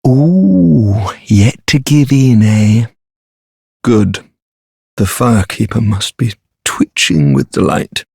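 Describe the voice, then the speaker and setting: A man voicing a bitter, defeatist warrior in a fantasy game, gravelly and husky, with a sneering, world-weary drawl. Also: fairly low pitch, expressive, slow pace, darkish tone, dry recording, studio quality, full character voice. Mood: mocking. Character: bitter, defeatist, sardonic male deserter warrior.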